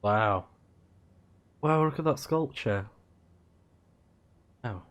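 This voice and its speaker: A man's voice: mid-range voice, lively intonation, very slow, a bit bright, clear, resonant tone. A man livestreaming a video game, giving casual commentary and reading game text aloud. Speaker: game streamer.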